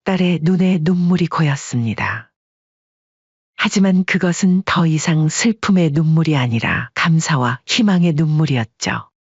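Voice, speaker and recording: A woman reading scripted story narration in an even, measured manner. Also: sits low, breathy edge, no echo, muffled recording.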